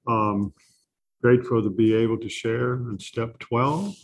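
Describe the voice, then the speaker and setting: A soft-spoken man sharing his recovery story at a support meeting, halting often with pauses and fillers. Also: mid-range voice, steady tone, slight background noise. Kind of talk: personal recovery share.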